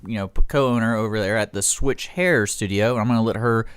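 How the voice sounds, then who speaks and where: A man's voice: fairly low pitch, a little fast, clean tone, dry recording. A man hosting a radio show with casual chat, in an informal, joking and rambling manner. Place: radio studio.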